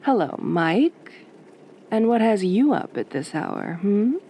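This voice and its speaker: A woman playing a sultry, teasing late-night radio DJ in a soft-spoken roleplay, with a velvety voice and a flirty, wry drawl. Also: mid-range voice, animated, slow pace, a little hiss or hum. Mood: sultry, playful.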